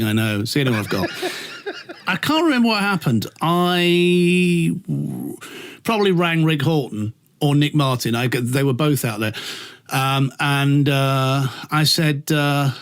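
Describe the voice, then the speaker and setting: Camp British male raconteur, higher register, highly animated, theatrical anecdotal chat. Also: slow pace, clear, resonant tone. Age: middle-aged or older. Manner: camp, theatrical, acts out quoted dialogue. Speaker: radio presenter, interview guest.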